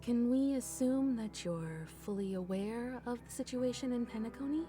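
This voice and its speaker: A woman's voice: fairly high pitch, normal speed, music in the background, lightly acted. A woman voicing a polite young companion.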